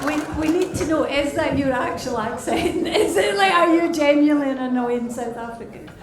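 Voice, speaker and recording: A woman's voice: sits high, moderate pace. A woman hosting a live comedy show in a casual, teasing, sweary manner. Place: on stage in a comedy venue. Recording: echoey live venue with loud audience. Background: crowd and music.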